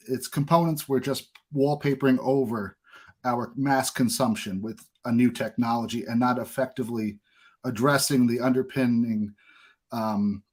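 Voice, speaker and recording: Male interview host, mid-pitched voice, slightly halting phrasing. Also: a little mellow. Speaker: podcast host. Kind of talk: podcast interview, introducing a guest and asking questions.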